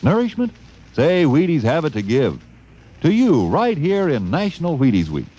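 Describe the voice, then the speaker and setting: A man's voice: a little high, wildly swooping pitch, normal speed, mellow, breathy edge, lo-fi sound. A man delivering an old-time radio commercial as announcer, with folksy, exuberant salesmanship. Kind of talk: 1950s radio advertising pitch.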